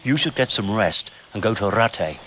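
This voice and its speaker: An actor playing a brisk medieval official in charge, in a video game cutscene. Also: male voice, fairly low pitch, lively intonation, background noise, natural voice. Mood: businesslike.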